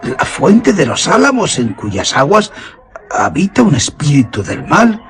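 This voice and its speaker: A man's voice: fairly high pitch, huge pitch swings, unhurried, a little hiss or hum. An actor playing a loyal, superstitious old huntsman in a suspense radio drama, with solemn, archaic Castilian Spanish diction. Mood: fearful warning.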